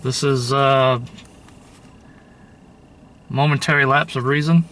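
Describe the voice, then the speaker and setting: A man's voice: medium pitch, steady tone, slow, fairly bright tone. A man giving a casual, informal show-and-tell commentary, musing aloud and offering his opinions. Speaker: host showing a thrift store tape collection.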